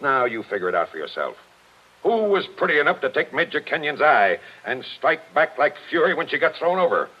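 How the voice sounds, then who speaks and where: Gruff, animated old-radio police captain. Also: male voice, on the high side, a little fast. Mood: insistent, explaining. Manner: forceful, insistent, clipped tough-cop talk. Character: gruff, relentless police captain laying out the truth. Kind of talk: 1940s radio acting. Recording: dull vintage 1940s radio recording.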